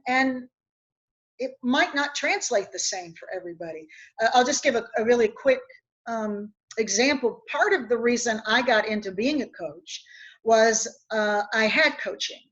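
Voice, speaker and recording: A woman's voice: fairly high pitch, animated, a little slow, fairly bright tone. A woman from West Virginia, an executive coach speaking in a webinar, explaining her points earnestly through anecdotes. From West Virginia, USA. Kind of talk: webinar discussion. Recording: dull lo-fi webinar audio.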